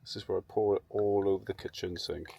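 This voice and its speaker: A British man with a fairly low voice chats off the cuff for a vlog. He is informal and sweary, rambling along conversationally over clearly audible background noise. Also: fairly even intonation, fast, warm tone. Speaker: vlogger. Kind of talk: casual rambling vlog chat.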